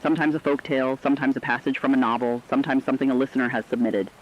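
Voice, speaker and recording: A man playing a sombre radio correspondent in a disaster drama, with a mid-pitched voice. He speaks deliberately and earnestly, and the sound is dull and lo-fi. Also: flat delivery, close to an everyday voice. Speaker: radio broadcast commentator. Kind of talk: scripted reflective radio commentary.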